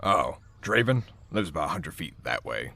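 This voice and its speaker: A very deep male passer-by acted by a man in an audio drama. He is casual and helpful, with a gravelly edge, recorded close and dry. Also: very animated, moderate pace, bright, forceful tone, dry recording, heavily acted.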